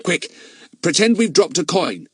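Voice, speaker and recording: A clever, confident boy leader gives quick, brisk orders in a lifted voice, put on by a man reading aloud. The delivery is lively and up close, with a dry sound. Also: on the high side, slightly rough, no echo, pitched above the performer's own voice, heavily acted. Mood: urgent. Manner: brisk, commanding, a little raised. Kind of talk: audiobook character voice.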